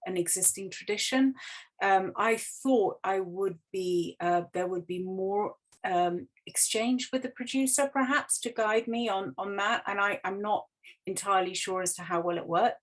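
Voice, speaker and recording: A woman with a fairly low voice speaks as a writer on a panel. She is reflective and candid, hesitating and correcting herself as she works out her answer. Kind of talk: reflective interview talk.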